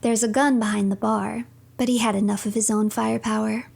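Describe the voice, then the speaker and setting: A woman streamer narrating a video game playthrough as a third-person story, in a measured storyteller manner. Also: fairly high pitch, steady tone, creaky, darkish tone, slightly rough.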